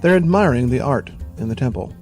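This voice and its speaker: A man narrating a scripted audio drama in a deliberate, dramatic manner. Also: mid-range voice, expressive. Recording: voice under loud music.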